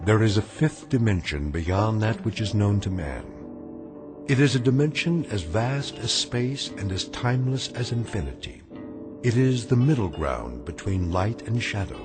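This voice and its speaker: An actor playing a solemn, ominous storyteller, narrating an eerie radio drama in a measured, portentous way. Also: male voice, fairly low pitch, animated, close to an everyday voice. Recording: music bed behind narration.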